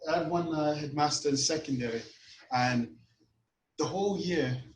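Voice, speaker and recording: A man with a Caribbean-tinged Toronto accent talks in an animated, story-driven way, peppering his talk with "you know what." His voice sits a little high. He comes through a video call from an echoey room with a faint hiss behind him. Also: moderate pace. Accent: Toronto, Caribbean-influenced. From Canada. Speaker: podcast guest, grime artist. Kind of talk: casual chat over video call.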